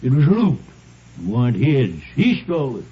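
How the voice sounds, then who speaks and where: Shifty, whining thief, husky old-radio sound. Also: male voice, medium pitch, huge pitch swings, moderate pace, exaggerated voice. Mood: defensive, agitated. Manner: folksy ungrammatical speech, whiny and defensive. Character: shifty, resentful, uneducated thief and anonymous caller. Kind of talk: 1950s radio drama acting. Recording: dull vintage radio recording.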